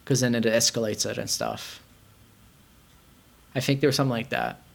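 A man's voice: medium pitch, steady tone, moderate pace, creaky. A young man from Iran chatting casually in a rambling way, with many fillers. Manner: rambling, heavy use of filler words. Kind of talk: casual chat.

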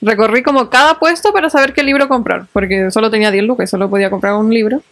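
A woman's voice: a little high, fast, fairly bright tone, no echo. A young woman with a Chilean accent, chatting casually as a podcast co-host. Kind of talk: casual chat.

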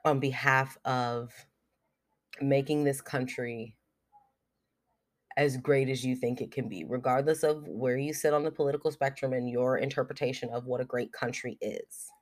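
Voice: mid-range voice, steady tone, moderate pace. A young podcast host with a cold and a cough drop in the mouth, talking frankly in a casual solo monologue with plenty of fillers and swearing. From United States. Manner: frank and blunt, swears freely, many fillers like "like" and "um".

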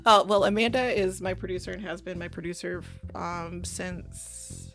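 A middle-aged woman chatting casually as an interview guest, talkative and quick to laugh. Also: lowish voice, steady tone, vocal fry, a bit bright, soft background music. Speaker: interview guest, audio drama creator and director.

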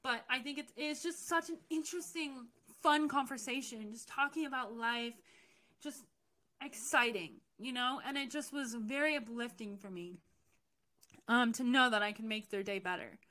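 Bright-voiced young woman telling personal stories. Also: a little high, moderate pace. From United States. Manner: chatty and candid, with frequent fillers and self-corrections. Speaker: podcast host. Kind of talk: casual personal storytelling.

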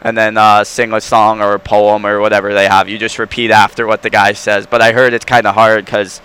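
A man's voice: lowish voice, level tone, a little fast, a bit bright, smooth. A young man with a Long Island accent, a hockey player answering interview questions in a rambling, casual way with many restarts. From Long Island, New York.

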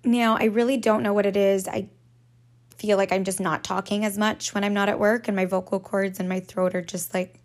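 A woman talks through a relaxed solo podcast in a raspy, slightly hoarse just-woke-up voice. She rambles chattily, laughs often and swears now and then, at a middling pitch for a woman. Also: moderate pace. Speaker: podcast host. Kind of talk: casual solo podcast chat.

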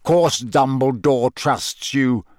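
A man voicing a gruff, battle-scarred older male professor in an audiobook, growling, taunting and sardonic with quiet menace. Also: medium pitch, no echo, studio quality, close to an everyday voice. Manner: barking, taunting, deliberate. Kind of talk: audiobook character voice.

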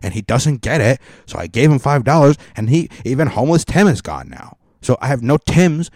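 A man's voice: medium pitch, very animated, fairly quick, darkish tone, dry recording, clean studio sound, pitched above the performer's own voice, lightly acted. A man voicing a rambling male caller with a Californian accent, meandering and full of fillers, in a comic podcast bit.

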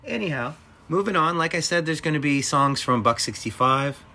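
A man's voice: fairly high pitch, expressive, normal speed, pushed and bright. A man hosting a podcast alone in a casual, rambling, off-the-cuff way. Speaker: podcast host. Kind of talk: casual solo podcast monologue. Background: instrumental hip-hop backing music.

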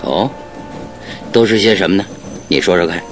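A man in a Chinese radio drama playing a calm, self-assured detective who speaks in a deliberate, instructive way. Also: sits low, soft background music, lightly acted. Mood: intrigued.

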